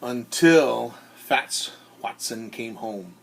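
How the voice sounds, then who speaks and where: A man who is a teacher reads a storybook aloud to children in an expressive way, often turning to ask his listeners questions. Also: medium pitch, drawn-out words, gravelly, slightly rough. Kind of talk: animated storybook read-aloud with asides to young listeners.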